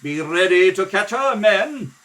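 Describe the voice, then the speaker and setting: A man playing an authoritative military commander giving forceful orders in old radio serial style. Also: high voice, expressive, unhurried, pitched above the performer's own voice, heavily acted. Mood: barking orders.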